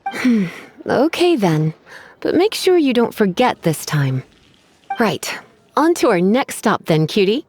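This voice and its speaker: A woman's voice: mid-range voice, very animated, takes it slowly, darkish tone, slight background noise. A woman voicing a teasing, self-assured librarian in a video game, coy and theatrical with a sweetly menacing edge. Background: faint music.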